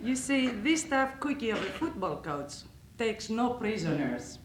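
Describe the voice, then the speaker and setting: A woman's voice: fairly high pitch, sing-song, heavy background noise. A woman from Greece with a Greek accent, telling funny stories about her husband in a roast speech. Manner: slow, deliberate storytelling with comic timing. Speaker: coach's wife giving a roast speech. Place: banquet hall. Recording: echoey banquet hall.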